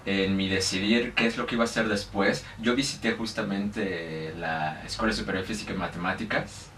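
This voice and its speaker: A man's voice: on the low side, fairly even intonation, brisk, fairly bright tone. A young Mexican man with a Mexican accent, talking in a casual interview and hesitating often, with many fillers and restarts. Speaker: guest. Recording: echoey room, mic at a distance, faint noise.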